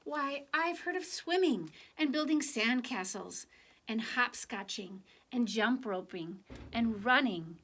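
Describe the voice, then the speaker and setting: Higher-voiced woman reading a children's story. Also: slow pace. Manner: expressive, gentle, addressing children directly. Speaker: children's story reader for a church. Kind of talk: picture book read aloud, then informal reflection to children.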